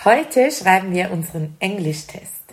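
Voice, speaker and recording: A woman's voice: medium pitch, animated, measured pace, close to an everyday voice. A woman with a Swiss German accent playing a kindly primary school teacher in an amateur radio play, speaking simply to children. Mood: kindly, encouraging.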